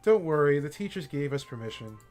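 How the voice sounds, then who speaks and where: A man doing a soft-spoken bookish girl. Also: a little high, animated, a little fast, faint music behind, lightly acted. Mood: mild. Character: shy polite bookish teen girl.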